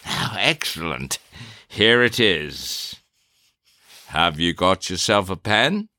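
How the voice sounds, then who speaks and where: A man playing a cool, probing interrogator in a theatrical drama, questioning in a formal, deliberate, insinuating way. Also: low-pitched, big pitch swings, pushed and bright, no echo, clean studio sound, heavily acted. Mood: coolly coaxing.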